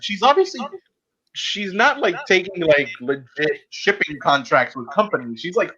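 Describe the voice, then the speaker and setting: Male podcast host, lighter high-set voice, rambling casual delivery, a bit lo-fi. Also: big pitch swings, bright, forceful tone. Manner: self-described geek, rambling and halting.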